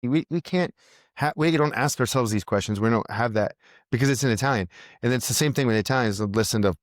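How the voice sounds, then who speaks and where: A man's voice: mid-range voice, quick pace, no echo, studio quality. A man, a musician, chatting casually in a podcast, thinking aloud with frequent restarts. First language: English. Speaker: musician guest.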